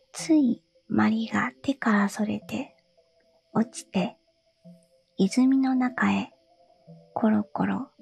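A woman reading a fairy tale aloud in a soft, breathy whisper. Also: fairly high pitch.

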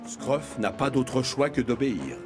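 A man narrating a children's cartoon as an expressive storyteller, in a scripted storybook style. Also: mid-range voice, a little slow, music in the background.

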